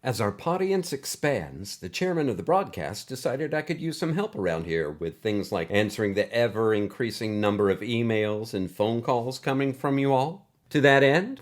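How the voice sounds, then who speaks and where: An earnest male podcast host acted by a man, with very lively, swooping intonation. He is chatty and theatrical, presenting a scripted show about outer space to his listeners. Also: medium pitch, lightly acted.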